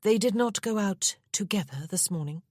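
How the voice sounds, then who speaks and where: A sweet young wife speaks softly and a little bashfully, voiced by a woman. Her tone is husky and gently animated. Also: mid-range voice, normal speed, no echo, studio quality, pitched above the performer's own voice, close to an everyday voice. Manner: soft, bashful.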